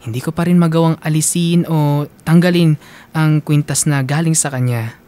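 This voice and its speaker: Guy reading a sad scripted story for radio, bit high pitched, sentimental and mournful. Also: steady tone, moderate pace, dark tone. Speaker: radio drama narrator. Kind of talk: scripted first-person story narration.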